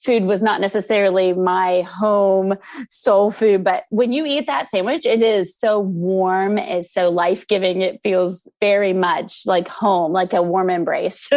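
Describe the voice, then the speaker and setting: A woman's voice: medium pitch, fairly even intonation, darkish tone, no echo. An American woman talking casually as an interview guest, enthusiastic and chatty. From United States, grew up in the country. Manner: enthusiastic, chatty, quick to laugh. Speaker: interview guest, food truck operator.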